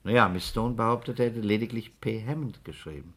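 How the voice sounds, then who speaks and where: Low, pondering investigator. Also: male voice, a little fast, a bit bright, husky. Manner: conversational, pondering, probing. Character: thoughtful, persistent male investigator, quietly skeptical. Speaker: actor in a radio crime play. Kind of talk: 1960s German radio play acting.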